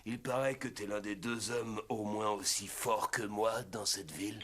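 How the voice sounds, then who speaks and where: A man's voice: on the low side, steady tone, unhurried, a little hiss or hum. An actor playing a boastful, mocking Cossack strongman in a French cartoon. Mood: taunting. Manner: boastful, taunting. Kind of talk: French animated series dubbing. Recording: loud music under the voice.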